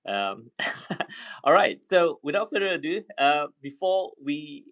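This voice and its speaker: A man hosting and moderating a casual panel chat. He talks in a relaxed, chatty way with plenty of fillers. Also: on the high side, takes it slowly. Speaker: host and moderator.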